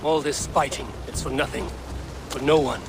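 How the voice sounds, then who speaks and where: A man's voice: mid-range voice, steady tone, normal speed, background noise, lightly acted. Firm warrior, played by a man. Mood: grave, insistent. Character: resolute male Viking warrior, persuasive and merciful. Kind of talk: dramatic video game acting. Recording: dramatic music behind the voice.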